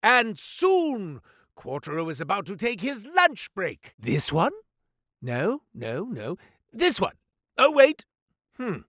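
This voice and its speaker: A man's voice: slow, dry recording, clean studio sound, heavily acted. A flamboyant goat-man merchant. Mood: wheedling. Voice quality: wildly swinging pitch, booming then muttering. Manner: grandiose salesman patter with deadpan asides. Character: flamboyant male goat-man merchant, showy, sly, theatrical. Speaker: shopkeeper character. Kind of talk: video game voice lines. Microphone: dry studio mic.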